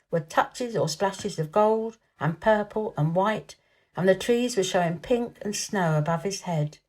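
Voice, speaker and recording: A woman reads a children's novel as a storyteller. Her voice sits at a middling pitch, and the narration is expressive and scripted.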